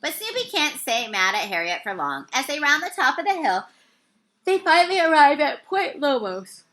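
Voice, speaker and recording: A woman reading a children's story aloud, with expressive and carefully phrased narration. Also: sits high, normal speed. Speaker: storybook reader.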